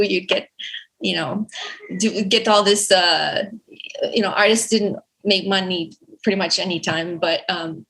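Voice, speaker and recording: A woman's voice: mid-range voice, sharp tone, poor audio. A woman in her forties from Romania, a native Romanian speaker, talking through her background as a podcast guest, thoughtful and full of fillers and restarts. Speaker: guest artist. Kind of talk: podcast interview.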